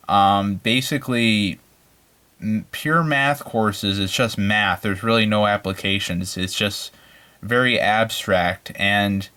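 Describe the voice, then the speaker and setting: A man's voice: lowish voice, bright, forceful tone. A man giving an informal, unscripted introduction to an online maths course, rambling and hesitant, with plenty of filler phrases. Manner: rambling, hesitant, peppered with "you know" and "like". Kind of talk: informal, unscripted lecture talk.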